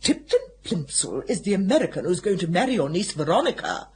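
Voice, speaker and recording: An actress playing an imperious, exasperated middle-aged aristocratic lady in a radio comedy, with a husky voice and an upper-class English accent. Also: fairly low pitch, very animated, brisk, a little mellow, a little hiss or hum. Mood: exasperated, scolding. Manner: grand, scolding, gushing in company. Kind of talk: BBC radio comedy drama acting.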